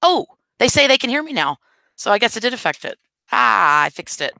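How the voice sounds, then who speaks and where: A woman's voice: a little high, sing-song, a little fast, gravelly, bright, forceful tone, no echo. A woman hosting a live stream in casual chat, talking straight to her viewers while losing her voice. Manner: chatty, addresses viewers directly.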